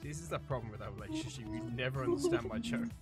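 An excitable game streamer giving casual live commentary, prone to shouting and cackling. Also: mid-range voice, fast, over loud music.

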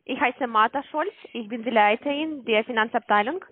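Female call-centre manager, foreign accent, slightly high voice, hesitant non-native phrasing, narrow phone-line sound. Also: dry recording. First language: not German. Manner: halting, searching for words. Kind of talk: phone conversation. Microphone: telephone line. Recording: tinny, narrow-band phone call recording.

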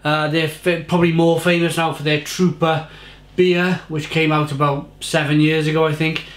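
A man's voice: on the high side, level tone, moderate pace, roomy sound. A man, casually reviewing a beer at home and often repeating his words. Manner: chatty, repeats words, gestures with hands. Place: home kitchen, indoors. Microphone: fixed camera at desk.

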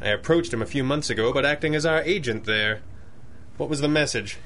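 An actor playing a grouchy, commanding masked crime-fighter with dry, formal speech in a 1930s-style radio drama. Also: male voice, mid-range voice, a little fast, a bit bright, slight background noise, lightly acted. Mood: grave, urgent.

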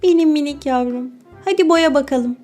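A woman's voice: high voice, normal speed, mellow, lightly acted. A loving animal mother for a children's cartoon, played by a woman. She is soothing and encouraging toward her little one, with background music.

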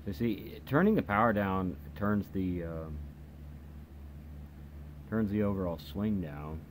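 Male hobby reviewer, extremely low voice, casual and hesitant, home video with clear noise. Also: lively intonation, slow pace. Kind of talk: casual product demonstration. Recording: noisy home video recording. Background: clear background noise.

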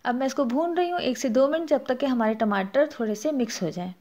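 A woman's voice: sits high, fast, mellow. A woman with a Pakistani Urdu accent hosting a cooking channel, narrating a recipe step by step and adding practical tips. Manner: explanatory, instructive, gives practical tips.

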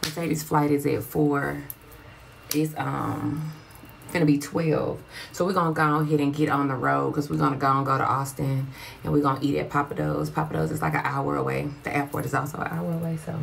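Chatty woman vlogger with a gravelly voice. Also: low-pitched, steady tone, fairly quick. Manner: chatty, colloquial, addresses viewers as y'all. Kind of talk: casual chat to camera. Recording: noisy background.

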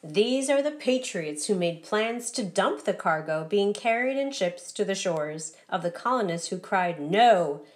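A woman reading a children's story aloud as scripted narration, in a deliberate, rhythmic recitation. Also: mid-range voice, animated. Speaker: storybook reader.

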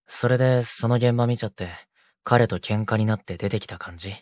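A man voicing a considerate, gentle young delinquent who keeps the peace, in an audio drama. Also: on the low side, brisk, no echo, natural voice. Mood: gentle, concerned. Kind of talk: Japanese boys' audio drama.

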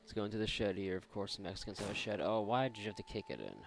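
A man's voice: on the low side, racing, creaky, a little hiss or hum. A man giving casual, sarcastic, often profane commentary while playing a video game. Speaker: gaming video host. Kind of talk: casual let's play commentary.

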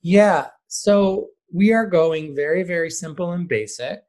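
A man's voice: sits high, unhurried, a little mellow. A man speaking as a podcast guest and theater director, giving casual, conversational answers.

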